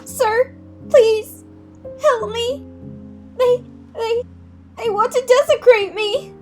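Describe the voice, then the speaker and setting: A woman voicing a gentle, frightened young heiress in a dramatic comic dub, speaking politely and earnestly. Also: very high-pitched, takes it slowly. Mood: terrified, pleading. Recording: voice over background music.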